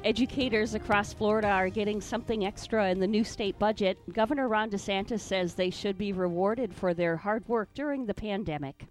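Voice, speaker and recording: A woman from Wisconsin who co-hosts a morning radio show and reads the news. She giggles through the banter and reads the headlines in an even, clear voice. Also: medium pitch, fairly quick, hardly any pauses, faint music behind, low-quality recording. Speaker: radio co-host and newsreader.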